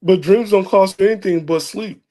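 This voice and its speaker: High-voiced man, joking podcast host. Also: moderate pace, noisy background. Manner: joking, laughs often. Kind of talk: casual chat.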